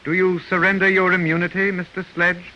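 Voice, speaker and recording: An actor playing a humanoid robot servant in a radio drama, formal and emotionless, politely relentless and controlling. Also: male voice, sits high, flat delivery, fairly quick, muffled recording, natural voice. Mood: calm, implacable. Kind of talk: 1950s American radio drama acting. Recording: hissy old radio recording.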